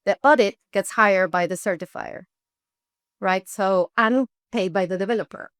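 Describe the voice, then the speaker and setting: Woman from peru, explains stuff step by step, smooth clear voice, dry close sound. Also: mid-range voice, a bit bright, no room sound. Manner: explanatory, measured, often restarts and asks rhetorical questions. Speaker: head of research at an NGO. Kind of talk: interview answers, explaining.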